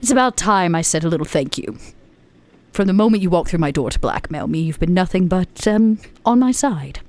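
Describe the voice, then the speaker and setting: A woman playing a shrewd, composed female vampire in a tabletop roleplay, speaking in measured, formal phrases. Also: fairly low pitch, lively intonation, a little mellow. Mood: wry, grateful. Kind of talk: tabletop roleplay actual play.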